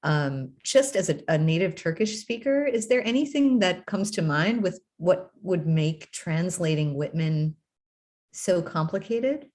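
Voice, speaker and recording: A woman's voice: on the low side, animated, a little slow, a little mellow. A woman moderating a panel talk, asking long winding questions and rephrasing them. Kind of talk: conversational panel interview.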